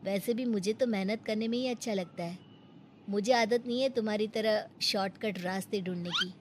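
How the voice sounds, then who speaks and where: A woman's voice: mid-range voice, moderate pace, slight background noise, natural voice. A woman voicing a kind, naive, hardworking male woodcutter in a Hindi moral-story cartoon, earnest and friendly.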